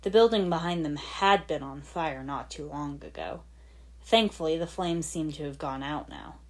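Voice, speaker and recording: A woman's voice: low-pitched, animated, moderate pace. A woman reading fan fiction aloud as dramatic scripted story narration. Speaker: fan fiction podfic reader.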